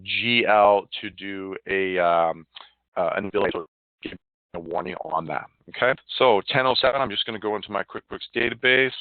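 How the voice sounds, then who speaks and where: Male software demo presenter, lowish pitch, casual and muttering as he works, dry telephone-grade audio. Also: dry recording. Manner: informal, chatty, muttering to himself while working. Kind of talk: casual live software demonstration. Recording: narrow, phone-like line, dry.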